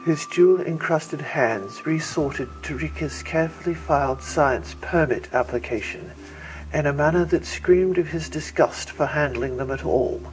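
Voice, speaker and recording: A man's voice: on the high side, a little slow, low-quality recording. A man reading their own science fiction story aloud as scripted audiobook narration. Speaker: author reading own novel. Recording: music bed behind.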